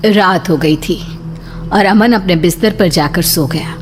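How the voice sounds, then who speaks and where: Female narrator for dramatic scripted tales, deep register, music clearly running beneath. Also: measured pace. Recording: clear music bed under voice.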